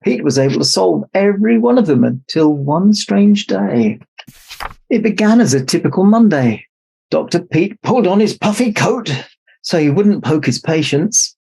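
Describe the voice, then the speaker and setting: A man narrating a children's story aloud as an expressive storybook reader. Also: sits high, moderate pace, creaky, husky. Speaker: storybook reader in Santa persona.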